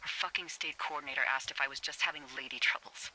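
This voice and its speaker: Wry, playful female fire lookout for a video game; American, very bright crisp tone, highish male-range pitch aside, heard over radio. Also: steady tone, quick pace, slight background noise, rough recording. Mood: tense, fed up. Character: wry, chipper, foul-mouthed adult woman fire lookout. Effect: two-way radio. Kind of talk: naturalistic video game dialogue.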